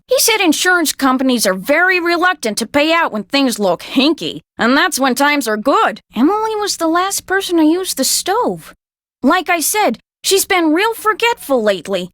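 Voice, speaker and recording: A woman's voice: high-pitched, a bit bright, no echo, studio quality. An actress playing a brassy, good-hearted, talkative 1930s American woman full of folksy slang in a video game. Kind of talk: scripted video game dialogue acting.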